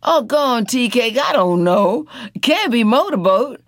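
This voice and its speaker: Woman putting on a young wife, high husky voice, sing-song and fussing, dry close sound. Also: animated, unhurried, dry recording. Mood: teasing. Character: young Southern woman, tender and anxious.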